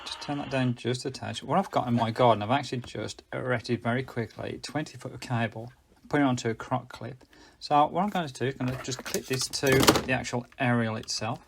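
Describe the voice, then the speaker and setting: British man with a gravelly, creaky voice. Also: mid-range voice, fairly quick, heavy background noise. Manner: chatty, rambling, self-correcting. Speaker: host reviewing budget radios. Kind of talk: casual unscripted product review.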